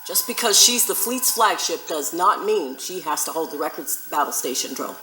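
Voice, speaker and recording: A woman playing a confident, good-humoured starship captain in a science fiction audio drama, brisk and commanding. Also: low-pitched, animated, pushed and bright, faint music behind, rough recording. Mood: formal, authoritative.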